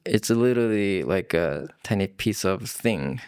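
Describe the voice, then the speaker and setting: Low-voiced Japanese-accented man, slow and lively. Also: smooth. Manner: halting, simple non-native English. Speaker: co-host of a bilingual English conversation podcast. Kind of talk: casual chat.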